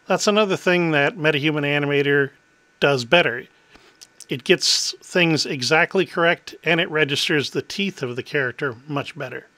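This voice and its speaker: Man, middle-aged, tech demo host, bright, slightly high voice, dry close sound, methodical. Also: normal speed, no room sound. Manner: clear, methodical explaining. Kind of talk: explanatory tech demo narration.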